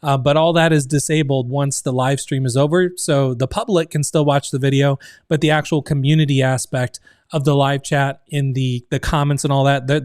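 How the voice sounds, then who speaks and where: A man in his thirties hosts a casual livestream from his home office, his voice slightly raised and smooth even though it sounds strained and close to giving out. He chats loosely with lots of fillers. The sound is dry and close, with no room around it. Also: on the high side, no room sound. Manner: chatty, many fillers, reads out chat comments. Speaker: community founder hosting a livestream.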